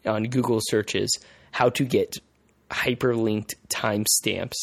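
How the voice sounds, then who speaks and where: A male podcast host explaining things in casual conversation, haltingly, repeating phrases as he thinks aloud. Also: lowish voice, fairly even intonation, vocal fry, dry recording.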